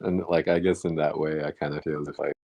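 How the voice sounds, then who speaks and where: A man in a casual, reflective discussion, speaking haltingly as he searches for words. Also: extremely low pitch, flat delivery, muffled recording. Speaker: book club discussion participant.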